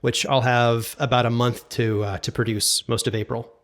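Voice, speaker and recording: A man's voice: mid-range voice, level tone, normal speed. Man in casual interview talk. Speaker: guest, audio drama producer and sound designer.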